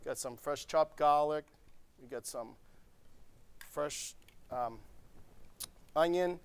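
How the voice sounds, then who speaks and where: A man's voice: fairly high pitch, takes it slowly, clean tone. A man in his forties, a restaurant chef, walking through a recipe step by step in a TV studio kitchen, easygoing and checking in with "okay?". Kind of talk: cooking demonstration.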